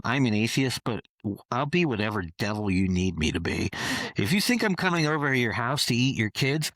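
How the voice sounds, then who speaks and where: A man's voice: medium pitch, no echo, low-quality recording. Casual male interviewee, loose rambling delivery, dead dry recording. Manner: relaxed, rambling, frequent fillers and restarts. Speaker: interview guest, costumed performer.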